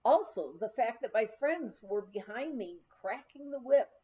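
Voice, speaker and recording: A woman with a fairly high voice reads a humorous memoir aloud at a leisurely pace. Her delivery is expressive and full of lively rises and falls, in the manner of a storyteller. The recording is a little dull and lo-fi. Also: unhurried. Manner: animated storytelling, acting out quoted dialogue. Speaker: audiobook reader. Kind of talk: expressive reading of a humorous first-person memoir.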